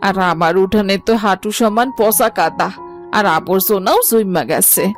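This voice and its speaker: A woman's voice: mid-range voice, normal speed, faint music behind, close to an everyday voice. A woman playing a devout, caring village mother with a rural Bangladeshi accent in a moral story. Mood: dismayed.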